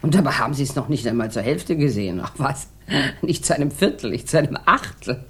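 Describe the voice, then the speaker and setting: A woman's voice: a bass voice, expressive, quick pace, husky, exaggerated voice. A woman playing a bored, boozy rich housewife in a 1960s German radio drama, theatrical and sardonic, drawing out her rambling remarks. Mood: tipsy, expansive.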